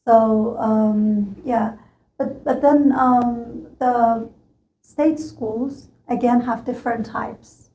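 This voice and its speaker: A woman's voice: a little high, fairly even intonation, very slow, mellow, slight background noise. A woman from Iran, a native Farsi speaker, answering interview questions in a hesitant way, with many ums and likes. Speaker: interview guest. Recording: echoey room.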